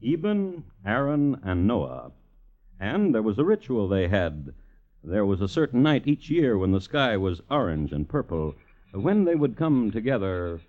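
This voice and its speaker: A man hosting and narrating a vintage radio crime story in a measured, dryly wry storytelling manner. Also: fairly low pitch, sing-song. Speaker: host and narrator. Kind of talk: 1950s radio scripted narration. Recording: muffled vintage radio with music. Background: musical score.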